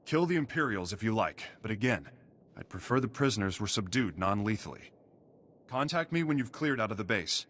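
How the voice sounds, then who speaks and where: An actor playing a gruff, duty-bound prison station officer in a video game, giving brisk military briefings. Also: male voice, fairly low pitch, lively intonation, a bit bright, a little hiss or hum. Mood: stern, urgent.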